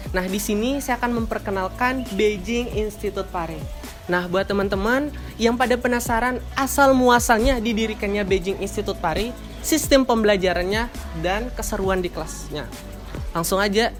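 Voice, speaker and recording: A woman's voice: mid-range voice, moderate pace. Woman presenter, upbeat and inviting; room echo and background music. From Nusa Tenggara Barat, Indonesia. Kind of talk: upbeat promo hosting.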